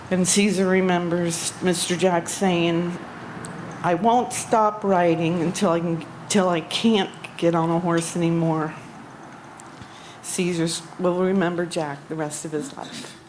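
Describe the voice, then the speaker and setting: A woman giving a memorial tribute at a gathering, speaking haltingly with frequent pauses and ums. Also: on the low side, darkish tone, slight background noise, rough recording. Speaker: eulogy speaker. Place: outdoor barn gathering.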